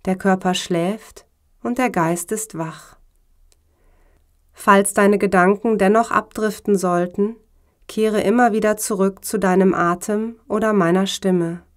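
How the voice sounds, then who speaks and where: A woman speaking as a yoga teacher, guiding a relaxation meditation in a calm, measured, instructional way. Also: medium pitch. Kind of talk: slow guided meditation narration.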